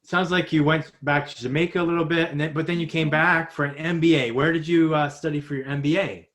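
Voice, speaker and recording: Friendly male podcast host, higher voice. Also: fairly even intonation, brisk, fairly bright tone. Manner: friendly, asks questions with small restarts. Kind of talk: interview host.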